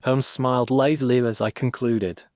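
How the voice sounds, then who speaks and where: An actor with a British accent playing a reflective Victorian gentleman doctor, earnest and formal, in a dramatised story. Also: medium pitch, fairly even intonation, no echo, close to an everyday voice. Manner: formal, measured literary phrasing. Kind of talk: first-person dramatised narration.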